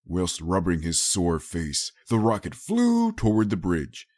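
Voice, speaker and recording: Casting: male audiobook narrator, low register, dry studio-like sound, dramatic scripted storytelling. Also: huge pitch swings, a little slow, a little mellow, dry recording.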